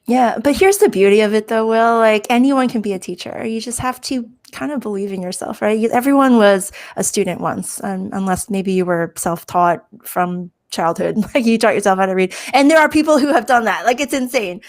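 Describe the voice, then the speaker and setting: A woman's voice: mid-range voice, brisk, hardly any pauses. A woman whose first language is Chinese, talking candidly and with jokes as a guest on a casual panel conversation. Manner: upbeat, candid, joking. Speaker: guest, information security director.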